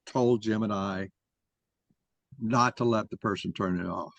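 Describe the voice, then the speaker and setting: A man's voice: on the low side, unhurried, gravelly, fairly bright tone, dry recording. A man moderating a mock trial discussion and arguing his own view as he goes. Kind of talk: argumentative discussion.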